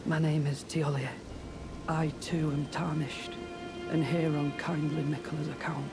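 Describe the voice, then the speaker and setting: A man's voice: a little high, flat delivery, measured pace, creaky, over music. A man voicing a meek, hesitant, apologetic poison brewer in a fantasy game. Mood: shy, polite. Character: meek, apologetic, self-deprecating poison-brewing warrior.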